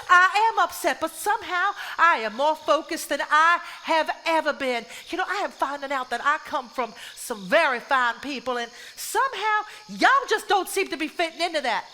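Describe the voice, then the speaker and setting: An actress in a live stage play playing a resolute, fed-up wife, speaking emphatically and theatrically. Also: female voice, extremely high pitch, lively intonation, normal speed, hardly any pauses, bright, forceful tone, a little hiss or hum, full character voice. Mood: indignant.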